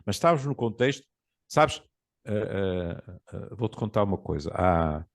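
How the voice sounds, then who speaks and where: A man in his seventies with a European Portuguese accent, telling stories in a relaxed conversation, reflective and pausing often. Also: low-pitched, gravelly. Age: 70s. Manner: storytelling, reflective, with frequent pauses and fillers. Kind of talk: casual conversation.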